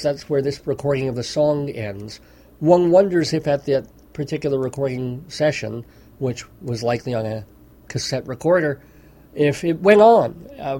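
A man's voice: mid-range voice, darkish tone. A man hosting a radio show, talking in a wry, mock-serious way with amused asides. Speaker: radio host. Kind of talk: casual radio commentary. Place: radio studio.

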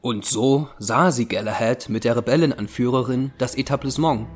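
A man narrating a dark Victorian adventure as the measured storyteller of a German audio drama. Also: medium pitch, natural voice.

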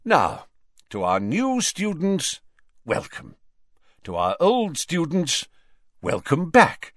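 A grand old headmaster from an audiobook, performed by a man, genial and airy. His welcoming delivery swoops widely in pitch. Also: huge pitch swings, takes it slowly, dry recording, studio quality, full character voice. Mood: genial welcome. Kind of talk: audiobook character voice.

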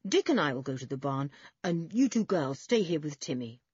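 A woman voicing a cheerful, sensible older schoolboy who leads the group in a children's storybook, brisk and hearty. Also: sits low, big pitch swings, a little mellow, husky, no room sound, dull audio, heavily acted. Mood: amused, decisive.

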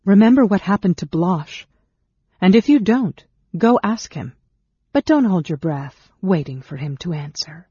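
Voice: mid-range voice, expressive, measured pace, warm tone, husky, no echo, dull audio, pitched above the performer's own voice. A narrator voicing a bold, cool woman warrior who speaks in a commanding, scornful manner in a fantasy storybook. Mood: snarling, grim.

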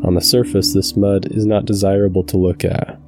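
Deep calm guy doing guided meditation, pretty flat soothing delivery, music with singing bowl behind him. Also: fairly quick. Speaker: meditation guide, channel host. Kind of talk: scripted guided meditation narration.

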